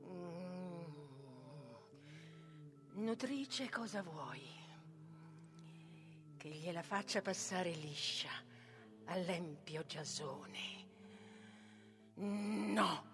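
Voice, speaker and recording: A woman's voice: on the low side, extremely slow, pauses often. A woman playing a proud, wronged, vengeful tragic heroine in a solemn, declamatory theatrical reading. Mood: bitter. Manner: declamatory, solemn, swinging from hushed whisper to fierce force. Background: music.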